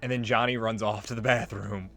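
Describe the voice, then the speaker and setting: A man's voice: lowish voice, flat delivery, brisk, a bit bright, soft background music. A man giving casual, jokey let's play commentary on a video game, prone to goofing around. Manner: jokey, breaks into silly singing and goofing.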